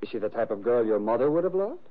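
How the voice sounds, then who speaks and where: A man's voice: mid-range voice, sing-song, fast, lightly acted. A man acting a droll, fussy sidekick in a 1950s radio drama, dry and mock-prim in manner. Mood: disapproving, dry. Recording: muffled vintage 1950 radio recording.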